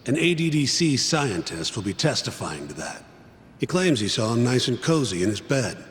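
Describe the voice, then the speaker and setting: A man's voice: medium pitch, big pitch swings, normal speed, long reverb tail, slight background noise, natural voice. An actor playing a blunt, skeptical male partner who dismisses the case. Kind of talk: video game voice acting.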